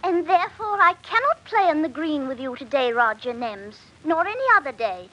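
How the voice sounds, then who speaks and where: An actress playing a trusting, lovelorn young country maid in an old radio drama, with earnest, quaint, tremulous phrasing. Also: female voice, sits high, animated, moderate pace, sharp tone, faint music behind, rough recording. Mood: sorrowful refusal. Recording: vintage radio recording, faint noise.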